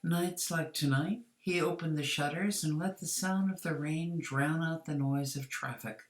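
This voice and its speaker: An author reading their own short story aloud as scripted narration, with expressive, dramatic delivery. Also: medium pitch, measured pace. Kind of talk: scripted narration, audiobook-style fiction reading. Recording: roomy, echoing sound.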